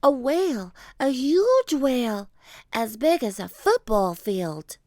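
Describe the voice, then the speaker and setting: A woman voicing a bold, excitable young girl in a children's storybook, eagerly exclaiming. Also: takes it slowly, no echo, studio quality, full character voice. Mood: excited. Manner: high-pitched, eager exclaiming.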